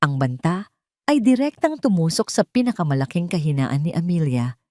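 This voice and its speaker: A woman tells a dramatic scripted story. Her voice sits on the low side with a dark, soft tone. The recording is dry and close. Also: lively intonation, normal speed, dry recording. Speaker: story narrator.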